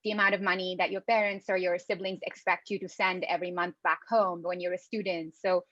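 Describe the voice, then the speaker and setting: A woman's voice: on the low side, level tone, a little fast, fairly bright tone, no echo, highs cut off. A young woman from Pakistan, a student club co-president, speaking thoughtfully and articulately on an online panel. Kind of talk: conversational panel talk.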